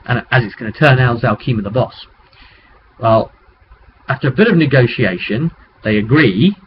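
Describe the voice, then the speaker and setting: A man's voice: medium pitch, lively intonation, normal speed. A man talking as a game master, recapping a tabletop roleplay session in a rambling, conversational storytelling way on a webcam microphone. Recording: dull webcam audio with background noise.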